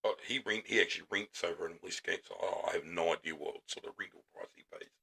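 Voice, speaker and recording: Gravelly-voiced New man hosting a podcast. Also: deep voice, quick pace. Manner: relaxed, laid-back, many fillers. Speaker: podcast host. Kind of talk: casual chat interview. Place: small podcast studio.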